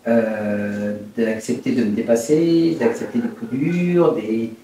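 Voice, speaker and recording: A man in his fifties from Brittany, France, telling his life story in an interview in a hesitant, reflective, halting way. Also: mid-range voice, fairly even intonation, mellow. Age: 50s. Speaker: interviewed entrepreneur. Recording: very echoey room, distant mic, faint noise.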